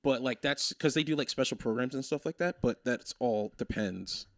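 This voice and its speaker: A man's voice: medium pitch, normal speed, no room sound. Twenties male streamer, casual and rambling, laughs easily, dry close sound. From Maryland. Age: 20s. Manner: rambling, thinks out loud, laughs often. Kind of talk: casual chat on a game stream.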